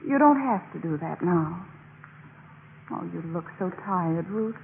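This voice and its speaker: Casting: falsely sweet young sorority leader, evasive and poised, 1950s radio drama, thin recording. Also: female voice, fairly low pitch, animated, gravelly. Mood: falsely sympathetic. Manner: measured, sugary, evasive. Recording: noisy, tinny 1950s radio broadcast recording.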